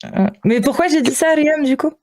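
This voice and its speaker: A woman's voice: high-pitched, normal speed, darkish tone, no room sound, studio quality. A woman chatting hesitantly in a casual live-stream debate, often trailing off. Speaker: debate participant.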